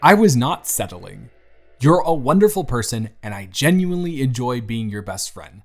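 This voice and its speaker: Lovestruck young male best friend, played by a man for audio roleplay: mid-pitched, sincere, halting, natural delivery, light music bed. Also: very animated. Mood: earnest, confessing. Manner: halting, earnest, conversational. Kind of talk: intimate audio roleplay acting, one side of a conversation. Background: background music.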